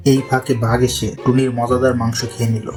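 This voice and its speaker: A man's voice: mid-range voice, level tone, fairly quick. A man narrating a scripted children's fairy tale as storyteller. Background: music.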